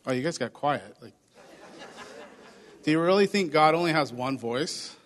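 A man with a hoarse voice, pastoring a church, giving chatty announcements and a short sermon to his congregation. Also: fairly high pitch, animated, moderate pace, a bit bright. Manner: upbeat, chatty, addresses congregation directly. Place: church sanctuary. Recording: long reverb of a church hall.

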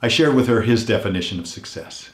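An older man hosting a weekly motivational message, earnest and unhurried, his voice a little hoarse as if he is losing it, often dropping to a hush on heartfelt points. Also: fairly low pitch, expressive, roomy sound. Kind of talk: reflective motivational talk.

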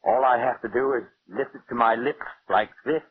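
An actor playing a bitter, taunting wealthy husband resigned to death in a theatrical 1940s radio drama style. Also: male voice, mid-range voice, slightly rough, close to an everyday voice. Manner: theatrical, sardonic, deliberate. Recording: muffled old radio recording with music.

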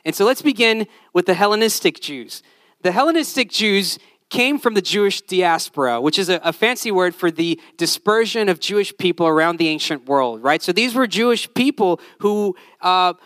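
A man's voice: high voice, lively intonation, normal speed, fairly bright tone, no echo. A man born in Honduras, a Spanish speaker, preaching a conversational sermon. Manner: conversational, tag questions.

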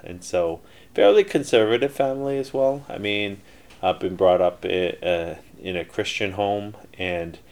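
Deep-voiced middle-aged man, rambling. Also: lively intonation, unhurried, a little mellow. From Canada. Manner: rambling, many fillers and restarts. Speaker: interview guest, entrepreneur. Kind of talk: casual interview storytelling.

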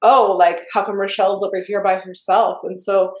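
A woman's voice: medium pitch, flat delivery, normal speed. Woman host with a roomy echo. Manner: earnest, storytelling, acts out quotes. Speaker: podcast host. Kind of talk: casual conversational interview.

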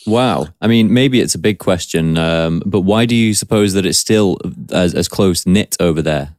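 Low-voiced podcast host, dry sound. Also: male voice, darkish tone, dry recording. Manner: measured, hesitant questions with fillers. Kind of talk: scripted intro and casual interview.

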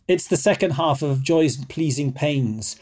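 A man talks through early music with a mellow, warm tone. He is chatty and scholarly, pausing with ums and drifting into tangents. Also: a little high. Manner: digressive, hesitant, scholarly. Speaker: guest musician. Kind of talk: chatty expert explanation.

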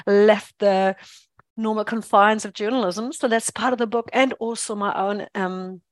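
A woman's voice: medium pitch, steady tone, normal speed, no room sound. A middle-aged woman with a German accent, a journalist and author speaking thoughtfully as a guest in a podcast interview. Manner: thoughtful, self-deprecating, many restarts.

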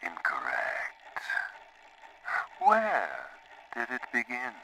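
An actor playing a cryptic, menacing mystery man in an audio drama, taunting and halting, with a gravelly voice. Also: fairly low pitch, wildly swooping pitch, sharp tone, slight background noise, full character voice, rough recording. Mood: menacing, demanding. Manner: slow, halting, taunting, theatrical. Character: cryptic, menacing, controlling male mystery informant. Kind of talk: period audio drama acting.

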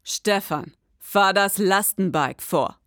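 A woman playing a pompous, gruff, world-weary noir detective, narrating a crime radio play in a dramatic, deliberate manner. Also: medium pitch, fairly bright tone. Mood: gruff, commanding.

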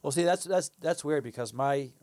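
A man's voice: on the high side, darkish tone, no room sound. A man co-hosting a casual faith discussion, often restarting his phrases before he finds his point. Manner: halting, restarts phrases, playful. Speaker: podcast co-host.

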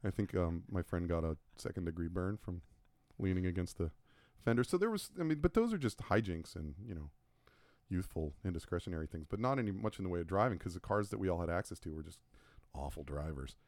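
Low, lively self-mocking man. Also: fast talker, dry recording. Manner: rambling storyteller, self-mocking. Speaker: podcast co-host and logo designer. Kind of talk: casual chat.

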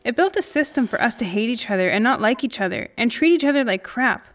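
A fairly high-pitched woman, played by an actress speaks as an earnest, indignant friend in an audio drama. Her delivery is conversational and emphatic, like someone who needs to be understood. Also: lively intonation, quick pace, natural voice. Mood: frustrated. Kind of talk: naturalistic audio drama dialogue.

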